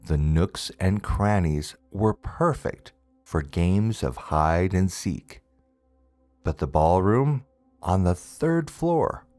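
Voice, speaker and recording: Guy reading a spooky story, really slow w dramatic pauses, super dry close sound, bit of music behind. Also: on the low side, a little mellow, no room sound. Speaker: storyteller, narrator. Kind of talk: scripted ghost story narration. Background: background music.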